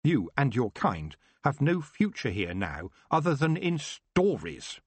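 Man as girl witch, storybook heroine, high-pitched, earnest, firm, defiant, lively intonation. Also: unhurried, husky, no echo. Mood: scornful, resolute. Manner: earnest, resolute, emphatic. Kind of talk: audiobook character voice.

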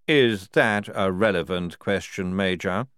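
A man's voice: deep voice, unhurried, fairly bright tone, clear, resonant tone, dry recording, clean studio sound. Man playing a curt, irritable army general. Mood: curt, displeased. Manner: curt, authoritative. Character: irritable, commanding senior male army general. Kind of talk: audiobook character dialogue.

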